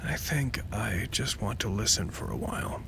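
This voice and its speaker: A man's voice: lowish voice, expressive, normal speed, vocal fry, fairly bright tone, a little hiss or hum. A man playing a prickly, weary site staffer in an audio drama, with a dry, irritable manner. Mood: subdued.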